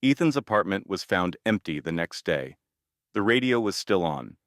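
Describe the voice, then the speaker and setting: Deep voiced guy doing scary story narration, ominous n measured, super dry sound. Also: sing-song, dry recording.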